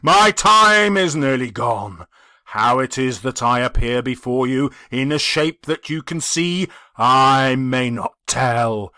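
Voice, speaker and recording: A man voicing the anguished, tormented ghost of a dead businessman in a dramatic audiobook reading, with a declamatory, mournful and drawn-out delivery. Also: medium pitch, lively intonation, sharp tone, no echo, studio quality. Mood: urgent warning.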